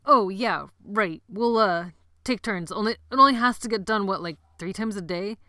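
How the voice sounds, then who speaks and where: A woman voicing a brash, bossy teenage boy who leads a gang of boys, loud and rallying in an audiobook. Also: mid-range voice, moderate pace, no room sound, studio quality, close to an everyday voice. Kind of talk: audiobook character voice.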